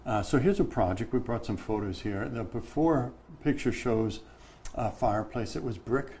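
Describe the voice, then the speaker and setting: A man's voice: medium pitch, normal speed, a little mellow, slight background noise. A man in his fifties, a painting contractor and guest in a casual studio interview, talking plainly about his projects. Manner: plain-spoken, practical, explains with hand gestures. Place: bright studio set at a table.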